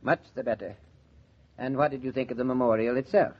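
A man's voice: mid-range voice, fairly even intonation, fairly quick, close to an everyday voice. A man acting in a 1940s radio drama as a hearty, pompous small-town committee man with an emphatic delivery. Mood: pompous, solemn. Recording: tinny, narrow-band 1940s radio recording.

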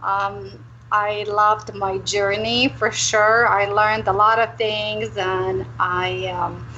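A woman from Romania who works as a career coach tells her story as a radio guest, candid and animated. Her voice is bright and forward, heard over a dull, lo-fi line with a faint hiss behind it. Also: medium pitch, takes it slowly.

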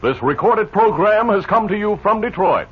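A man's voice: sits high, expressive, moderate pace, slightly rough. A man announcing on 1950s radio in an emphatic, formal, salesmanlike way. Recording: dull 1950s radio recording.

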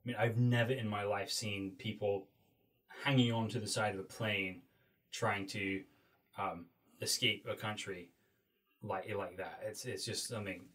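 A male podcast host with a fairly low voice reads steadily and then asks casual, loosely phrased questions. He sounds set back a little from the microphone. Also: steady tone, a bit bright. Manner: measured reading, then loose questions with fillers. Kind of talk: interview hosting. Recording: voice a bit far from the mic.

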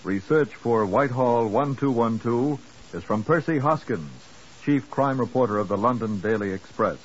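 A man's voice: mid-range voice, normal speed. A man announcing formally in the style of a 1950s radio programme. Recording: muffled, hissy old radio broadcast.

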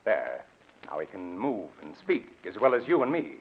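An actor playing a confident, obsessive scientist in a 1940s radio drama, theatrical, earnest and commanding. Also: male voice, lowish voice, very animated, normal speed, breathy edge, phone-quality sound. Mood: smooth, persuasive. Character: zealous, persuasive male scientist, confident and obsessive. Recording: thin, band-limited old radio recording.

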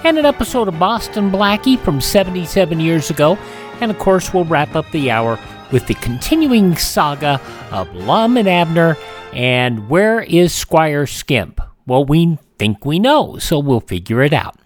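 A man's voice: on the high side, sing-song, a little slow, faint music behind. A man hosting a radio show, talking through a conversational, partly scripted rundown of history.

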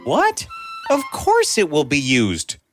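A woman voicing an eager, proud nineteen-year-old prince in a children's bedtime story, excitable and quick to take offence. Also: sits low, over music, exaggerated voice. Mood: indignant.

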